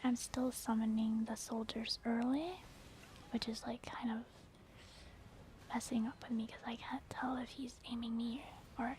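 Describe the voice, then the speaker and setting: A woman whispering breathy, casual ASMR commentary as she explains her gameplay. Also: fairly high pitch, darkish tone, slight background noise, low-quality recording. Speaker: ASMR game streamer. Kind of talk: soft-spoken ASMR game commentary.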